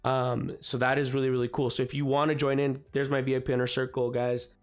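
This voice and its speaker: A man with a slightly raspy voice from the cold hosting a live trading stream, chatting casually and filling gaps with "guys" and "you know what I mean". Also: medium pitch, flat delivery, fast. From Canada. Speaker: forex trading livestream host. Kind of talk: live market commentary. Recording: faint music behind.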